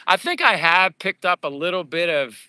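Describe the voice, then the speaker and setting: A man's voice: high voice, sing-song, moderate pace, pushed and bright, smooth, no room sound. A man with a Pennsylvania accent hosting a casual livestream, rambling upbeat and thanking viewers as he chats. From United States. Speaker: livestream host. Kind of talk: casual livestream chat reading viewer comments. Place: living abroad.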